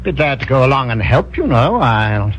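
An actor playing a wary colonel who warns in an earnest, measured way, in a 1950s radio drama. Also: male voice, medium pitch, big pitch swings, a bit bright, slight background noise. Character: older male colonial army colonel, cautious. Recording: dull lo-fi old radio recording.

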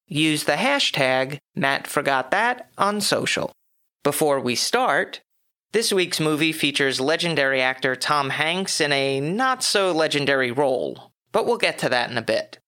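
A man hosting his own podcast, reading a scripted movie review with dry, wry asides, his voice a little hoarse from a cough. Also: a little high, expressive, normal speed, bright, forceful tone. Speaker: podcast host.

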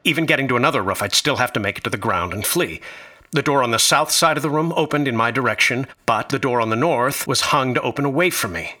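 An actor playing an anxious, bookish traveler, narrating his ordeal in a radio drama with formal, uneasy wording and a husky voice. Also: mid-range voice, quick pace, sharp tone. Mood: tense, fearful. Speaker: narrator and lead character. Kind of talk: scripted first-person radio drama narration.

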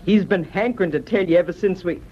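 An actress playing a homespun, chatty, kindly frontier wife with folksy rustic phrasing in an old-time radio drama. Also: female voice, fairly low pitch, quick pace, close to an everyday voice. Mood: cheerful, excited. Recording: muffled, noisy old radio recording.

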